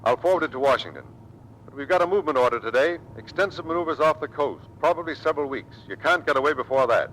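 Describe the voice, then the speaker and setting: An actor playing a tough, loyal American Navy squadron commander in old-time radio drama style, earnest and commanding. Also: male voice, on the high side, animated, fast, fairly bright tone, a little hiss or hum, rough recording. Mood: stern.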